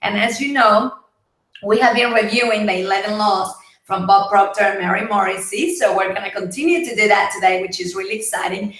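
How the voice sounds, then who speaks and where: A woman from Mexico with a husky, partly lost voice, hosting a casual live broadcast and greeting viewers by name. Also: medium pitch, fairly even intonation, a little fast, fairly bright tone, reverberant, low-quality recording. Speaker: livestream host. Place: home, Gold Coast.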